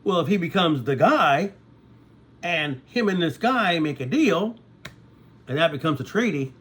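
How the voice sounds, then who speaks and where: Lively, rambling man in his sixties. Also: on the high side, measured pace, breathy edge. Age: 60s. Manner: conversational, repeats "okay?" to check in with viewers. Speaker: vlogger. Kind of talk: rambling casual monologue.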